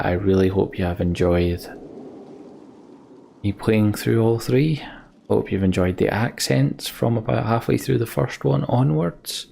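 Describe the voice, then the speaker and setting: A man with a low voice and a crackly, fry-laden edge talks casually to his gaming audience. He is halting and heartfelt, reflecting as he goes, with faint noise behind him. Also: darkish tone. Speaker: gaming let's play host. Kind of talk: casual sign-off chat to viewers.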